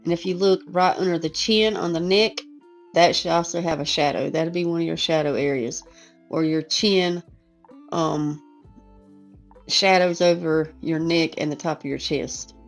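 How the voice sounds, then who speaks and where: A woman who is losing her voice, hoarse and chatty, talking through a casual painting lesson over soft guitar music. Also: low voice, fairly even intonation, unhurried. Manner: casual, chatty, self-deprecating. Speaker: art teacher. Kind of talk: relaxed painting tutorial voice-over.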